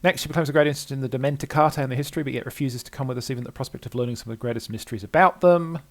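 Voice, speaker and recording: An older man with a creaky, gravelly voice reads a game's story text aloud at a quick pace. He hesitates, corrects himself and drops in asides as he commentates. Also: medium pitch. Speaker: let's player reading game text aloud and commenting.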